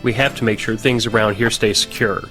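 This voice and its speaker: An actor playing a weary, stubborn army veteran in an audio drama, with a dry, plain-spoken manner. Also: male voice, fairly low pitch, steady tone, a little fast, pushed and bright, faint music behind. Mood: guarded. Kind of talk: naturalistic audio drama acting.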